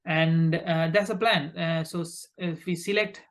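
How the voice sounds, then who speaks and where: Sri man, halting interview talk. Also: a little high, fairly even intonation, a bit bright. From Sri Lanka. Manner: halting, repeats words mid-phrase. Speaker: podcast guest, recruitment company founder. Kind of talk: conversational interview answers.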